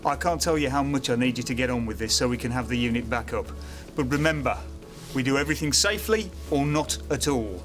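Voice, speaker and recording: An actor playing a busy, harried maintenance supervisor, brisk and managerial, in a naturalistic training film drama. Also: male voice, medium pitch, a bit bright, faint music behind, close to an everyday voice. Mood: brisk, instructing.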